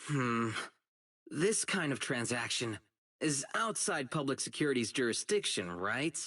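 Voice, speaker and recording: A man voicing an earnest, righteous young public security officer in a video game, dutiful and excitable, with dramatic delivery. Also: mid-range voice, measured pace, full character voice. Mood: solemn, earnest. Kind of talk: isolated game character voice lines, dramatic acting.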